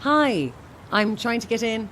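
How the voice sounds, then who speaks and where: A woman's voice: a little high, expressive, a little slow, noisy background. A woman playing a flustered, self-dramatizing struggling actress who rambles theatrically to herself in an audio drama. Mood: polite, flustered. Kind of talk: modern audio drama acting.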